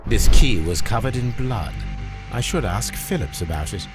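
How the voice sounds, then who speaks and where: A man playing a shrewd, commanding English gentleman detective in a Victorian video game, speaking with precise, formal phrasing. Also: fairly low pitch, lively intonation, moderate pace, music in the background, natural voice. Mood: brisk, inquiring. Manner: precise, formal, clipped questioning. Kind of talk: video game cutscene acting.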